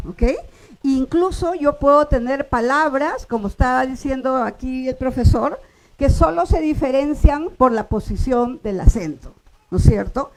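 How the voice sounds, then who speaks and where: Woman teaching a workshop, high voice, keeps asking "ok?" to check everyone follows. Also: a little mellow, low-quality recording. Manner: explanatory, checks in often with "¿no?" and "¿ok?"; sounds out example words slowly syllable by syllable. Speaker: workshop lecturer on linguistics. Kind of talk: classroom lecture.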